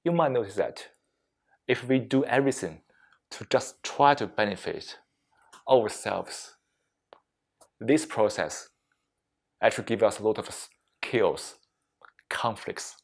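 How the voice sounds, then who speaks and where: A man leading a reflective mindfulness talk as a meditation teacher, speaking deliberately in short phrases with frequent pauses and non-native English phrasing. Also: fairly low pitch, animated. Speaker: meditation teacher giving a workplace session.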